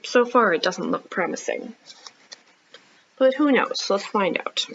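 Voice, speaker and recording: A woman's voice: mid-range voice, lively intonation, a bit bright, a little hiss or hum. Woman hosting children's storytime, slightly muffled. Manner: child-directed, asking questions and pausing for answers. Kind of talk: read-aloud storytime for young children.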